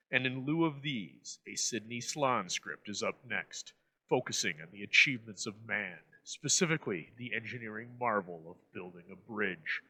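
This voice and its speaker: Bright, forceful low-voiced male host. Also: poor audio. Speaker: host introducing old radio episodes. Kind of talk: scripted narration.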